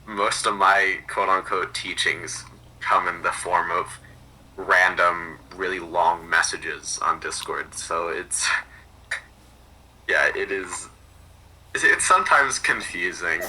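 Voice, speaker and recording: A man chatting casually as a podcast guest, halting and repeating words as he explains things. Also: sits low, pushed and bright, rough recording. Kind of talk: casual chat.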